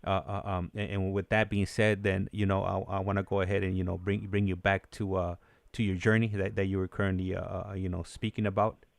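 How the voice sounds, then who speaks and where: A man hosting a live call-in show, talking casually in a halting, repetitive way as he addresses a caller and his viewers. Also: deep voice, flat delivery, vocal fry, no echo. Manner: halting, repetitive, stumbling delivery.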